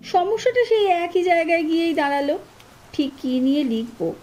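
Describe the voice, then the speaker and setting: High, animated woman narrating a horror story. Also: normal speed, faint music behind, low-quality recording. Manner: expressive, dramatic storytelling. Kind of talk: scripted horror story narration in first person. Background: ambient sound effects.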